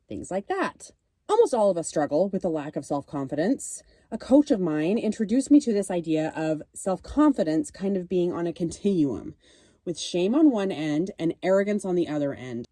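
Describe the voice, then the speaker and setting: A woman's voice: lowish voice, warm tone, no room sound, studio quality. A woman podcast host and confidence coach with a cold, giving an earnest, encouraging solo talk. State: has a cold.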